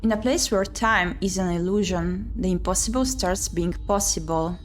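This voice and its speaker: A woman's voice: on the low side, normal speed. A woman streamer reading a visual novel aloud and chatting casually about it. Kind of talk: casual playthrough commentary and narration. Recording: clear background noise.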